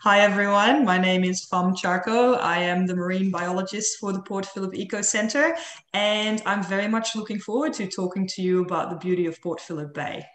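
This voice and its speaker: A woman's voice: mid-range voice, fairly even intonation, moderate pace, a bit bright, roomy sound. A woman with a Dutch accent, a marine biologist giving a friendly online presentation over a video call. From Netherlands. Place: home room on video call. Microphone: video call audio.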